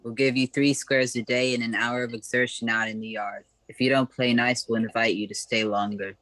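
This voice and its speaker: A gruff, taunting prison guard voiced by a man in a radio comedy. The pitch is ordinary for a man and the sound is dry. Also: level tone, a little fast, bright, forceful tone, no room sound, close to an everyday voice, low-quality recording. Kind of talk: amateur noir comedy radio play.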